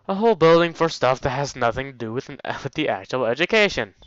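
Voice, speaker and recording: A man's voice: medium pitch, expressive, fast, fairly bright tone, no room sound, lo-fi sound. A man hosting a let's play, reading visual novel text aloud with casual, jokey asides and getting easily sidetracked. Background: faint game music.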